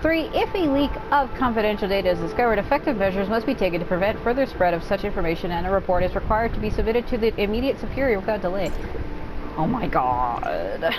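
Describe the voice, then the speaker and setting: A woman's voice: medium pitch, expressive, fast talker, background noise. A woman doing casual gameplay commentary with a sarcastic, irreverent manner and plenty of swearing. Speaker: gaming streamer playing and commenting. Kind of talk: casual gameplay commentary with sarcastic quips and reading in-game text aloud. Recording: game music behind the voice. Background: video game music and sound.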